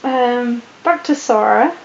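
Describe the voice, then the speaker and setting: A sleep-deprived young woman with a put-on posh British accent, chatting casually and rambling through viewer questions with a croaky voice. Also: a little high, takes it slowly. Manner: rambling, chatty, with many ums and restarts. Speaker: vlogger answering viewer questions. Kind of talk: casual Q&A chat. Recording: echoey room, dull lo-fi audio with faint hiss.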